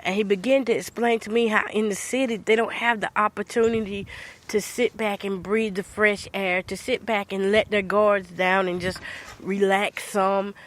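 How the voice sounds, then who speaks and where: A woman's voice: medium pitch, moderate pace. An older woman with a congested voice from a cold, hosting a podcast and casually telling her viewers a story in a chatty, sing-song way. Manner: chatty, animated, sing-song Southern talk. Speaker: podcast host. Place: rural home.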